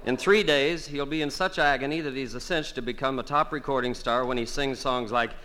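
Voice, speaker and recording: A man's voice: medium pitch, fairly quick, bright, forceful tone, poor audio. A man, a singer guest on a radio show, giving mock-serious joking advice.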